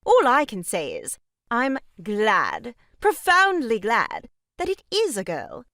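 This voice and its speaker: A woman's voice: a little high, wildly swooping pitch, slow pace, fairly bright tone, no room sound, studio quality, exaggerated voice. An actress playing a prim, moralising married lady, reproving and emphatic, in a period farce audio drama. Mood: righteous reproach.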